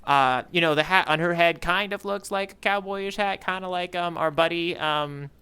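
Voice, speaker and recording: A man with a crisp, bright voice co-hosts a casual podcast. His pitch sits a little high, and he rambles playfully, breaking into his own sentences as he thinks aloud. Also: moderate pace. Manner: playful, rambling, self-interrupting. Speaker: podcast co-host. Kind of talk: casual readalong podcast chat.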